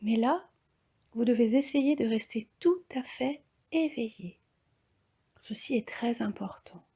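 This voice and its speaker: Slow, breathy woman guiding relaxation. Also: a little high. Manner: calm, soft, breathy, often near-whispered delivery. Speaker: yoga nidra instructor. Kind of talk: guided relaxation meditation.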